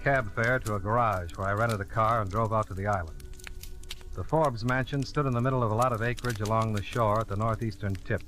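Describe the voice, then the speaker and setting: Actor as a low-voiced, cool radio detective. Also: male voice, fairly quick, a bit bright, faint music behind, close to an everyday voice. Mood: dry narrating. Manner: clipped, understated, polite but probing. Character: cool, businesslike male insurance investigator. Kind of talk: old-time radio detective drama acting. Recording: old radio recording with faint noise.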